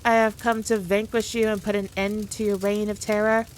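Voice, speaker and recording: A woman's voice: mid-range voice, level tone, normal speed, a little hiss or hum, lightly acted, rough recording. A woman playing a powerful demon queen in a scripted roleplay, talking down to the listener in a teasing, dominant, condescending way. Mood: mocking. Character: haughty, playful, all-powerful female demon queen.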